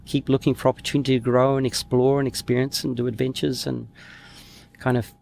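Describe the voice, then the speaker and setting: A middle-aged man from New Zealand, a former pro snowboarder, telling stories in a relaxed interview. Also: mid-range voice, fairly quick, creaky, a little hiss or hum. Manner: relaxed storytelling, trails off with fillers. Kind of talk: casual interview chat.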